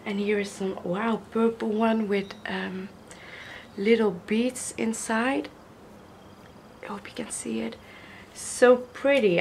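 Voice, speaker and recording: A young woman, hoarse from a cold, gushing slowly over craft gifts while filming at a table with the camera mic. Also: medium pitch, a little hiss or hum. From Netherlands. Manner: gushing, slow, admiring, repeats praise. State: hoarse from illness. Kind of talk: casual unboxing vlog. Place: indoors at a wooden table.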